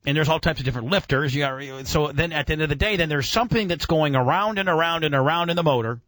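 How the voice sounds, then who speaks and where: A man's voice: a little high, fast, a bit bright, no echo. A man hosting a casual radio show, explaining and quizzing with an enthusiastic, profane, teacherly manner.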